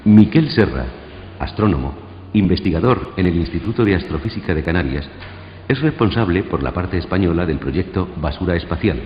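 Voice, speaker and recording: Deep-voiced man narrating radio documentary. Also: animated, normal speed, a little hiss or hum. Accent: Spanish, from Spain. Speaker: radio programme presenter. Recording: dull radio playback in echoey hall.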